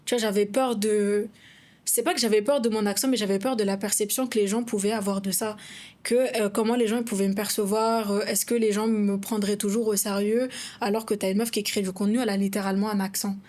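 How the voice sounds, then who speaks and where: A young woman with a Malagasy accent talks frankly in a relaxed podcast chat. Her voice sits at a middling pitch, and she speaks her mind plainly in an easy, conversational way. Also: a little fast. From Madagascar. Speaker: podcast co-host, content creator.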